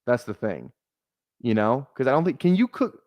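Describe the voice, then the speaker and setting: A man's voice: mid-range voice, sing-song, moderate pace, no echo, muffled recording. A man in his twenties chatting casually on a fantasy football livestream, rambling, swearing often and laughing at himself. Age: 20s. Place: small apartment room.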